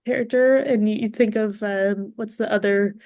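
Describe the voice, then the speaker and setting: A woman with a medium-pitched voice chats casually as a podcast guest. She is expressive and talkative, and her voice is recorded dry, without audible room sound. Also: normal speed, no echo.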